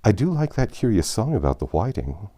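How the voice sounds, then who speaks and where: A man acts out a timid storybook girl with a raised, lilting voice. The delivery is polite and careful, with childlike curiosity and lively swings in pitch. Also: fairly quick. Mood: thoughtful, polite. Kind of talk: storybook character reading.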